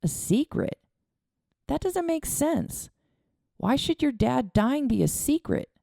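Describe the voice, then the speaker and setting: A woman's voice: high voice, big pitch swings, slow, creaky, dry recording, clean studio sound, voice pushed up, heavily acted. A woman voicing a kind, earnest young boy who comforts a friend. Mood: puzzled. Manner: earnest, gently reassuring.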